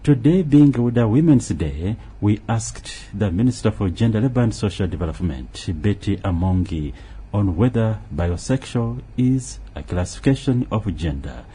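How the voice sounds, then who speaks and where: A man's voice: lowish voice, expressive, normal speed, dark tone, slight background noise. A man with a Ugandan accent reading scripted radio news as the presenter.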